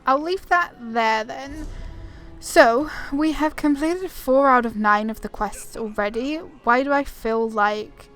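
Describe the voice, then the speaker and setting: A woman's voice: high-pitched, takes it slowly, fairly bright tone, faint music behind. A woman doing casual video game playthrough commentary, chatty and self-deprecating as she thinks aloud. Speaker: gaming YouTuber.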